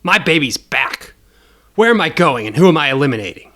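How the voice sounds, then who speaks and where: A man's voice: on the high side, big pitch swings, a little fast, pushed and bright. A man voicing a cocky, bloodthirsty young cyborg bounty hunter in an audio story, with a swaggering manner. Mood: eager, excited.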